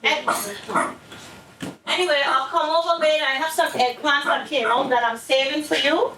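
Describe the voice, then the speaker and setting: A woman acting the part of a defensive, exasperated Caribbean granddaughter in a stage play, animated and argumentative. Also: high-pitched, moderate pace, close to an everyday voice. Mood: placating. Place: indoor stage, mic far from actors. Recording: roomy, distant stage recording with noise.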